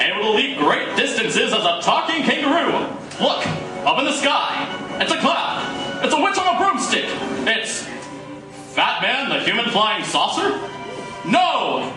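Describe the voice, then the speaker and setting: High-pitched, grandiose old-time radio announcer man. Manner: grandiose, dramatic. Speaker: announcer and narrator. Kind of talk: theatrical 1940s radio announcing, scripted narration. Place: live performance in a coffee house. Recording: echoey room from far off, music.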